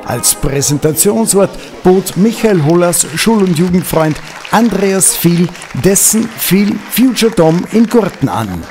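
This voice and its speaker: A man's voice: high voice, sing-song, unhurried. An Austrian man narrating a scripted regional news report as its reporter. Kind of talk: scripted news narration and short interview questions. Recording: music and crowd noise behind.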